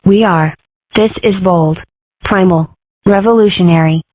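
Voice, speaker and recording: A woman's voice: on the low side, measured pace, dry recording. A woman voicing a cheeky fox girl. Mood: sarcastic. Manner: sarcastic, mischievous. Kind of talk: fan comic dub. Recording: dry, phone-like lo-fi sound.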